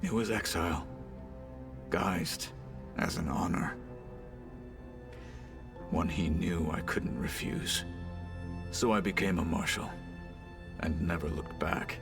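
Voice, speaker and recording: Deep gravelly stoic warrior. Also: male voice, over music, exaggerated voice. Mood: stoic, bitter. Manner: formal, measured, solemn speech. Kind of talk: dramatic video game acting.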